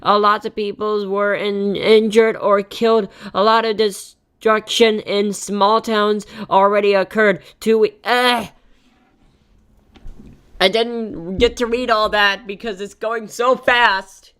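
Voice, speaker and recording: A woman chatting casually as she reacts on a live stream, halting and repeating her words. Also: medium pitch, level tone, fairly bright tone, clean tone.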